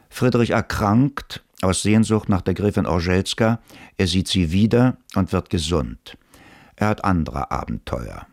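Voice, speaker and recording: Deep-voiced male narrator, dry studio sound. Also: a little mellow, no echo. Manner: measured, matter-of-fact. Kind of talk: scripted radio play narration. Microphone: dry studio close mic.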